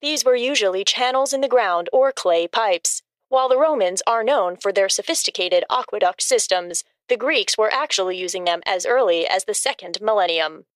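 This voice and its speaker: A woman reading scripted informational asides as a co-host, matter-of-fact, measured and deadpan. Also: medium pitch, dry recording.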